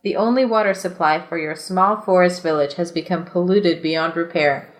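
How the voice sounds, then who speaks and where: A woman's voice: lowish voice, normal speed. A woman hosting a comedy podcast, mixing casual chat and reading aloud with giggly, self-deprecating asides.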